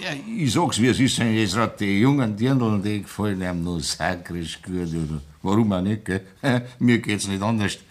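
A man with a Bavarian accent playing a jovial, flattering farmer in a 1960s radio play. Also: fairly low pitch, moderate pace, hardly any pauses, lightly acted.

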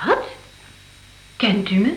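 A young runaway girl in a radio play. She is wary yet earnest, with a medium-pitched voice in a roomy, echoing sound. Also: sing-song, slow pace. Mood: wary, urgent. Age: young adult.

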